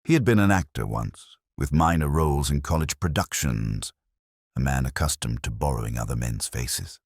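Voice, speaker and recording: Very deep, solemn detective narrator played by a man. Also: lively intonation, breathy edge, no echo, studio quality, full character voice. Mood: somber, reflective. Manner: measured, solemn, literary. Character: male police inspector narrating a case, melancholy and reflective. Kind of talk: scripted first-person detective audio drama narration.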